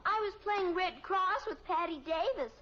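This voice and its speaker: An actress playing an earnest, guileless little American daughter in a mid-century radio sitcom, innocent and plaintive. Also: female voice, very high-pitched, normal speed. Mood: innocent, matter-of-fact. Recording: dull, noisy old radio recording.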